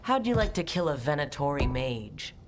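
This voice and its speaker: Resolute squad leader in reverberant hall. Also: female voice, low-pitched, sing-song, noisy background. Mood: resolute, commanding. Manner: firm, measured, commanding. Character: resolute squad leader giving battle orders. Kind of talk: video game cutscene acting. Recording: long reverb, music behind.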